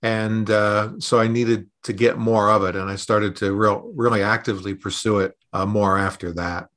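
A man's voice: fairly low pitch, level tone, normal speed, fairly bright tone. A middle-aged man, a consultant and podcast host, speaking about his work on a show. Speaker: podcast host, consultant being interviewed as guest. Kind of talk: scripted podcast introduction and conversational interview answers.

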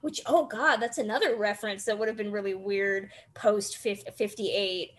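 A woman hosting a casual film discussion, talking in an animated way with wry asides. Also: mid-range voice, moderate pace. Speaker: host of a film discussion podcast.

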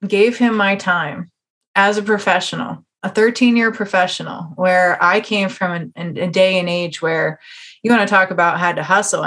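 A woman's voice: medium pitch, a bit bright. A woman hosting a casual interview podcast, talking emphatically in slangy, conversational asides.

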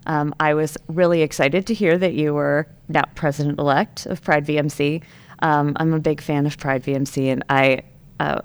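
A woman's voice: deep voice, clean tone. A woman hosting an interview podcast, chatty and enthusiastic as she talks with her guest. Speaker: podcast host. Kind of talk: casual interview chat.

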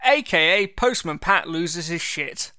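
Brief: joking podcast co-host, male, high and smooth. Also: lively intonation, measured pace, pushed and bright. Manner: jokey, wisecracking. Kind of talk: casual chat.

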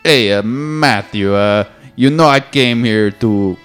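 A man playing a blustering male gang boss in a comedy bit, loud and swearing. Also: on the low side, slow pace, smooth, faint music behind. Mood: playful.

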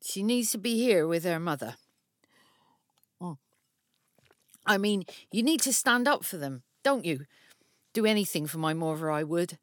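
A woman's voice: lowish voice, animated, unhurried, pauses often, dry recording, clean studio sound. An actress playing an aggrieved, fiercely protective mother who talks in a gossipy, resentful, confiding way in an audio drama monologue. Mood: indignant, protective.

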